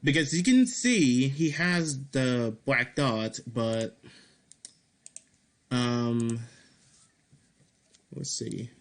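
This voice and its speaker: Male indie game developer, slow and halting, mid-pitched, casual screen commentary with mild swearing. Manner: halting, repeats and restarts phrases. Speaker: game developer making a devlog. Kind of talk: casual screen-recorded commentary, reading and answering feedback.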